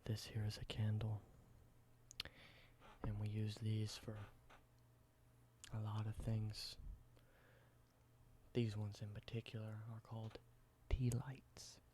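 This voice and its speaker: Low, flat whispering man. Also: a little hiss or hum. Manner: softly whispering, explaining everyday objects slowly and simply. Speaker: ASMR creator. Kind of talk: whispered ASMR roleplay.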